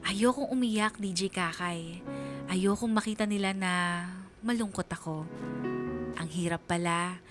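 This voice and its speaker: A woman's voice: medium pitch, measured pace, long pauses, music in the background, natural voice. A woman playing a lonely, anxious schoolgirl who narrates in the first person for a radio drama, sorrowful and confiding. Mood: anxious, sad.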